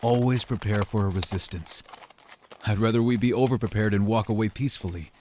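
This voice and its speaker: A man's voice: fairly low pitch, brisk, noisy background, natural voice. A man playing an expert, easygoing hunter who talks in a casual, matter-of-fact way in a fantasy audio roleplay. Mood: informative, steady. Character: expert male hunter, easygoing, loyal, practical team partner. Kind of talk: scripted fantasy audio roleplay addressed to the listener.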